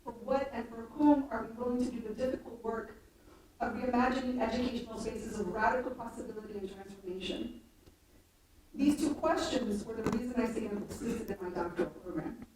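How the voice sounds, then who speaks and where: High-voiced young woman in a very echoey room. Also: a little fast, a crowd in the background. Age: young adult. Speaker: introducer of a keynote speaker. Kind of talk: prepared introduction speech read aloud. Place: large room at a live event. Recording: very echoey room, distant mic, faint noise.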